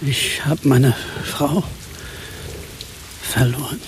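An actor playing a lonely, halting widower who pleads for company in a radio play. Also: male voice, mid-range voice, background noise. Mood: pleading, halting.

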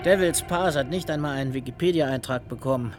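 A man's voice: medium pitch, brisk, a little hiss or hum, lightly acted. A man playing an agitated, boastful film director in a radio play, stammering as he rants with derision. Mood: scornful, bitter. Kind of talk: dramatic radio play dialogue.

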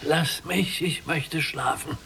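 An older man acting in a literary radio drama as a bitter, mistrustful old blind prisoner, halting and brooding. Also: fairly high pitch, gravelly, pushed and bright, a little hiss or hum, full character voice. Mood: weary refusal. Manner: slow, halting, brooding delivery.

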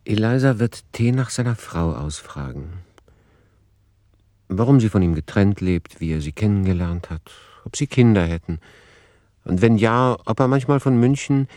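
Male radio play narrator: deep register, factual, analytical, no room sound. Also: dark tone, dry recording, clean studio sound.

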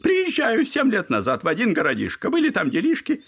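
An old buffoon of a father, pitched high and swinging wildly up and down. The voice is bright and sharp, full of fawning, theatrical mock courtesy, as in a radio play. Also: huge pitch swings, a little fast, slightly rough, full character voice. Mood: gleeful, clowning. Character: garrulous, clownish old father, a self-declared buffoon. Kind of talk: audio drama acting.

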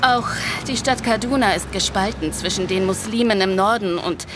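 A woman's voice: mid-range voice, moderate pace, noisy background, close to an everyday voice. A woman playing a friendly, concerned nun in an audio drama, explaining things in a conversational, slightly hesitant way. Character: friendly, concerned Nigerian nun working for peace. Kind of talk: interactive audio drama dialogue.

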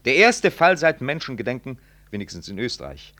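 An actor playing a jovial, self-important medical professor in a 1960s radio drama, with a breezy, chummy manner. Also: male voice, mid-range voice, very animated, fairly quick, sharp tone. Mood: chummy, pleased. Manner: breezy, chummy, name-dropping. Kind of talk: 1960s German-language radio drama acting.

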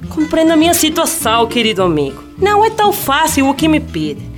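A woman's voice: high voice, big pitch swings, fairly quick. A woman playing a wary, pressured Brazilian president in a radio play, with a Brazilian accent and music behind. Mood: pleading. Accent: Brazilian, mixing Portuguese and Spanish. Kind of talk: radio theatre acting.

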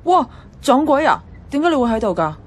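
A woman's voice: high voice, very animated, a little fast. A woman playing a feisty, blunt young office worker who loves comics, in a Hong Kong Cantonese radio drama. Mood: indignant, teasing. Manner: teasing, blunt.

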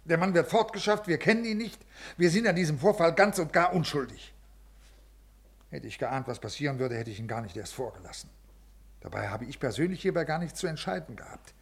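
Rigid bureaucrat, gravelly fry, fairly high male voice, officious, defensive, 1950s radio drama. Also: lively intonation, a little fast. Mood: cold, self-justifying. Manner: stiff, formal, officious. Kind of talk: 1950s German radio play acting.

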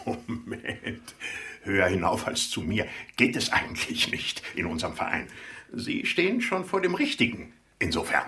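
A man's voice: low voice, wildly swooping pitch, sharp tone, recorded from a distance, heavily acted. An actor in a German radio play voicing a pompous, easily flustered property company chairman who speaks officiously and hesitates. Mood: officious, self-important. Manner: pompous, officious, hesitating with filled pauses, increasingly exasperated. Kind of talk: 1980s German radio drama acting.

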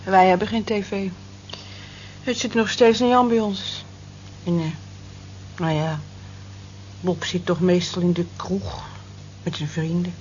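A muffled old radio drama recording with faint noise. An actress plays a modest working wife with a lowish voice, speaking in a hesitant, demure and earnest way. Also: female voice, fairly even intonation, unhurried, pauses often, a little mellow, natural voice. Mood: defensive, plain. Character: modest, lonely married night-shift cleaning woman. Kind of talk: Dutch radio drama acting.

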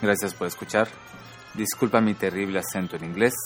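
A man hosting a podcast who explains things in a measured, scripted narration. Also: on the low side, fairly even intonation, fairly bright tone, faint music behind. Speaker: podcast host.

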